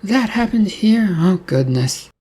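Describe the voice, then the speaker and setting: Nervous young female camp counselor, voiced by a man, raised pitch, husky edge, anxious questions. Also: lively intonation, takes it slowly, mellow, full character voice, low-quality recording. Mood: worried.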